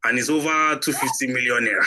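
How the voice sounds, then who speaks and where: A man playing a corrupt, menacing executive boss in an audio drama, smooth-talking and overbearing, with a Nigerian accent. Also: mid-range voice, fast talker, a crowd in the background, natural voice. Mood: sly, persuasive. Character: corrupt, menacing male executive boss pressuring his accountant.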